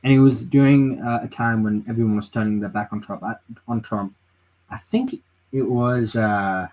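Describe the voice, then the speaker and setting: A man's voice: lowish voice, steady tone, normal speed, darkish tone, low-quality recording. A tired man on a late-night livestream at home, giving his opinions in a rambling way and reading chat messages aloud. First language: English. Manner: rambling, reads chat aloud, frequent restarts and fillers. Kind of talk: casual political livestream chat.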